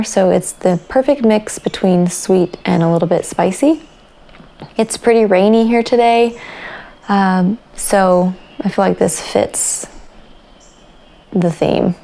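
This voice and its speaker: Woman, young adult, raspy from coughing, gravelly, mid pitch, chatty relaxed podcast host. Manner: chatty and relaxed, with fillers and small laughs. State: raspy voice after a night of coughing. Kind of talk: casual chat about crafts.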